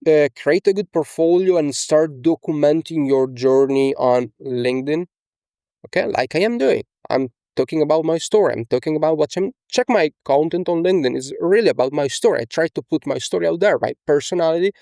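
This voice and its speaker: A man's voice: a little high, animated, a little fast, vocal fry, warm tone, no echo, clean studio sound. A young man from Italy with an Italian accent, talking casually as a coach in a screen-share tutorial, often tagging on "okay?" and fillers. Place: small indoor room.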